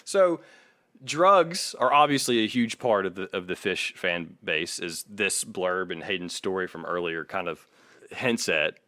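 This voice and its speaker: A man co-hosting a podcast, talking casually in a measured, hesitant way and sometimes reading aloud. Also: deep voice, expressive, bright, forceful tone, no room sound. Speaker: podcast co-host.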